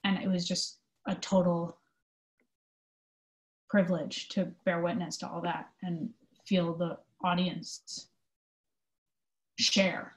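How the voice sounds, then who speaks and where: A woman speaking as an interview guest, giving thoughtful, conversational answers in an earnest, halting way with emphasis on key words. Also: on the low side, little pitch movement, poor audio. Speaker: interview guest, theatre company manager.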